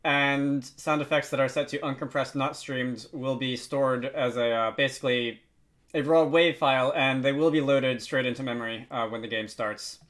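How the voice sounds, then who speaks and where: A man narrating a software tutorial in a casual, informal way, pausing often as he explains. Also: medium pitch, steady tone. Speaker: tutorial host.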